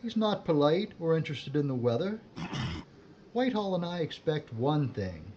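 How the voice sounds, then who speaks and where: Man playing a blustering police chief, highish pitch. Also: sing-song, unhurried, warm tone, lo-fi sound, slight background noise. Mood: scolding, impatient. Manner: gruff, scolding, emphatic. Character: impatient, blustering older male police chief inspector. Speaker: actor in an audio drama. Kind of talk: audio drama acting.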